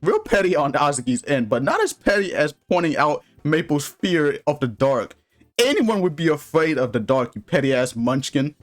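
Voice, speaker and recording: A man's voice: a little high, very animated, moderate pace. A man narrating a casual scripted video review in an informal, slangy way with some crude humor. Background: background music.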